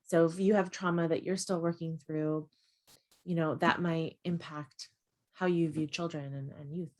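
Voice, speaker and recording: A woman's voice: deep voice, steady tone, moderate pace, a little mellow. A young adult woman, a guest expert in a webinar conversation, explaining earnestly and often tagging her points with "right?". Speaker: guest expert on mental health.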